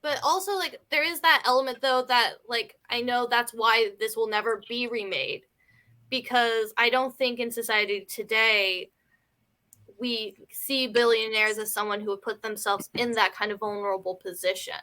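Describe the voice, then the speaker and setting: A woman's voice: a little high, fairly even intonation, moderate pace, bright, forceful tone. A woman in a casual film discussion, talking in a hedging way peppered with "like" and "you know". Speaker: film review panelist.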